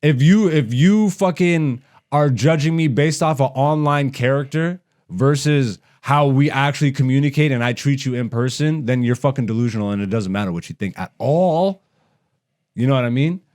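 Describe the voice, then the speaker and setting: A man's voice: mid-range voice, normal speed, darkish tone. Profane, slangy man ranting on a podcast. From raised in Canada. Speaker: stand-up comedian and podcast host. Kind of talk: casual podcast rant.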